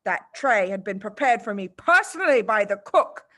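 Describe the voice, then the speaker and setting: A woman reading a storybook, voicing a furious, pompous headmistress who barks and booms out drawn-out insults. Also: very animated, sharp tone. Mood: furious accusation. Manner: barking, booming, drawn-out insults. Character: monstrous bellowing tyrant headmistress, furious and pompous.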